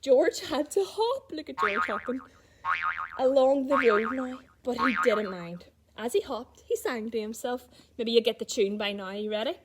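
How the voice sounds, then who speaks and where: A woman's voice: on the high side, expressive, moderate pace, noisy background. A woman reading a children's picture book aloud as storyteller, with little asides to young listeners about the pictures.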